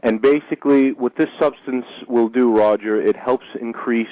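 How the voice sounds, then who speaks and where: A man hosting a call-in radio show, speaking in a measured way and often repeating a word as he starts a phrase. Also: mid-range voice, steady tone, no room sound. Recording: dull dry lo-fi radio recording.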